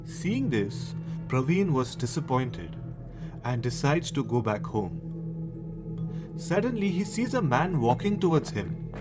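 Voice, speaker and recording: A man's voice: medium pitch, big pitch swings, a little slow. Indian-accented male horror storyteller over music. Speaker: radio horror show host and storyteller. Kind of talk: dramatic horror story narration.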